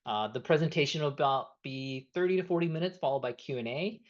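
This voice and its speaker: A man's voice: on the high side, fast talker. A man hosting a webinar on a video call, opening with housekeeping in a casual way full of uhs. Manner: casual and filler-heavy, many uhs. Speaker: webinar host. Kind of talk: webinar introduction. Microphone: computer mic on a video call. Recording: dull, lo-fi video call audio.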